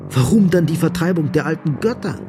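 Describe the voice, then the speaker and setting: A man narrating a literary radio drama gravely, in short, emphatic phrases. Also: fairly high pitch, lively intonation, brisk, music in the background.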